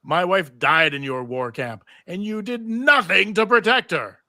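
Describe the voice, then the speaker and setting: Man acting a slow, bitter, grieving musician. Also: high-pitched, bright, forceful tone, no room sound, studio quality, pitched above the performer's own voice, heavily acted. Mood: bitter, accusing. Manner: slow, accusing delivery. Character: bitter grieving male musician, blaming a warrior. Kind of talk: live table read of TV script.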